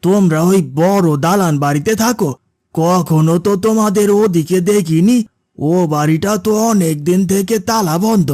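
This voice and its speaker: A man's voice: sits high, moderate pace, darkish tone, no echo, studio quality, natural voice. A man voicing a suspicious, curt villager in a cartoon, with an accusing manner. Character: suspicious, curt village man who dislikes city folk. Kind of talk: cartoon character dialogue.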